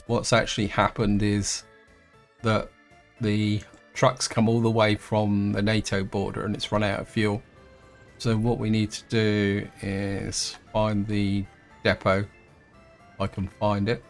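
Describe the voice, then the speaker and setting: A man, croaky from a throat infection, casually thinking aloud as he plays through a strategy game, with lots of fillers and restarts. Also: on the low side. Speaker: gameplay host. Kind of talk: casual let's-play commentary.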